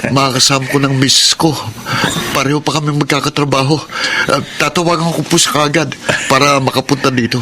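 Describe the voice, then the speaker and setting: A man's voice: medium pitch, little pitch movement, brisk, background noise, lightly acted. An actor playing an earnest, polite married male worker in a radio drama, respectful and easily flustered. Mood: hopeful. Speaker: lead, a migrant worker. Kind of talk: classic radio drama acting.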